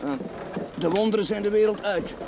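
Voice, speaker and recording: Young earnest priest, fairly high male voice, quick temper, TV drama, noisy old outdoor soundtrack. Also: fairly even intonation, creaky. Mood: irritated. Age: young adult. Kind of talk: television drama acting.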